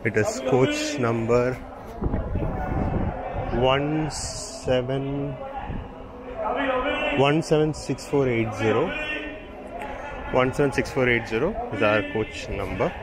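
A man's voice: mid-range voice, big pitch swings, takes it slowly, long pauses, over a noisy crowd, rough recording. A man giving casual, chatty commentary about the trains he passes while riding in a train, filmed on a handheld camera. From India. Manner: chatty, pointing things out with fillers. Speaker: train travel vlogger. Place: on a moving train by an open door. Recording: train noise behind.

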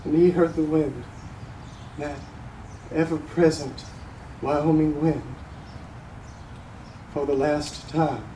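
A young man playing a solemn, resolute grieving father addressing a court in a stage monologue, with heavy pauses. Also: on the high side, fairly even intonation, warm tone. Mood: grave sorrow. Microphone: distant camera microphone. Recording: roomy, noisy, recorded from far off.

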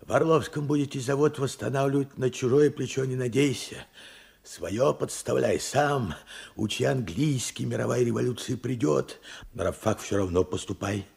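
A man's voice: medium pitch, creaky, darkish tone, slightly rough. An actor playing an old grandfather and war veteran in a Soviet radio play, tender, fervent and dramatic. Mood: earnest, subdued. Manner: dramatic, fervent, halting delivery. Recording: vintage radio play with music underneath.

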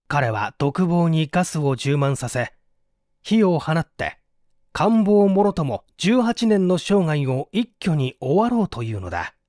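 A man's voice: a little high, a little mellow, no room sound, clean studio sound. A man reading mystery fiction as an audiobook narrator, in a theatrical, suspenseful manner.

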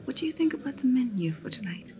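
A woman playing an attentive innkeeper in a fantasy tavern ASMR roleplay, speaking in a hushed, breathy, often whispered voice. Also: high-pitched, big pitch swings. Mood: gently hospitable. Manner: hushed, unhurried, attentive hostess talk. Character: Edna, attentive female innkeeper serving guests in fantasy tavern.

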